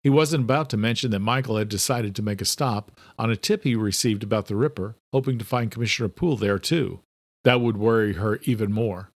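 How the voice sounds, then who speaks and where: A man's voice: lowish voice, brisk, no echo. A man narrating a thriller audiobook from a script.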